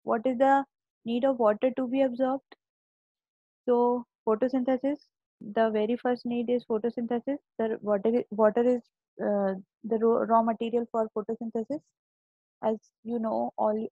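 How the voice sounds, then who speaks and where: A woman's voice: a little high, flat delivery, moderate pace, no echo, phone-quality sound. A woman teacher giving an online biology lecture, explaining step by step and often repeating or restarting words.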